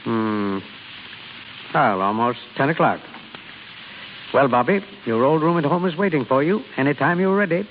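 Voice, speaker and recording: A man's voice: medium pitch, normal speed, pauses often, in a large hall, lightly acted. An actor playing a wry, kindly father of a grown daughter in an old radio drama, droll and avuncular in manner. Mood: gentle, reassuring. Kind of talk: 1930s-40s American radio drama acting. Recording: muffled, hissy old radio broadcast.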